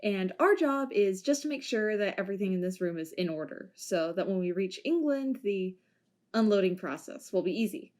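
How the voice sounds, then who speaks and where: A woman's voice: mid-range voice, animated, moderate pace, darkish tone, close to an everyday voice. A woman in an amateur audio drama playing a wry ship security guard who makes dry, playful quips.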